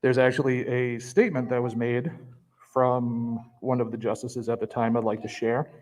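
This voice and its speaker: A man speaking as a local resident at a school meeting. He argues in a measured way and reads a quotation quickly. His voice sits at a middle pitch. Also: fairly even intonation, darkish tone, rough recording. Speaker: resident at public comment. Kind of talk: public comment, reading a quote.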